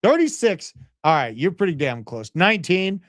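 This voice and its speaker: A man in his thirties hosting a livestream, giving brash, animated market commentary and chatting with live viewers. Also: sits high, normal speed, dry recording, clean studio sound. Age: 30s. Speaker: crypto livestream host.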